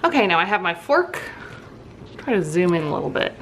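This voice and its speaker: A woman's voice: fairly low pitch, sing-song, a little fast, husky. A woman chatting casually through a craft tutorial, rambling and thinking aloud as she works. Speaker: soap maker hosting her own craft video.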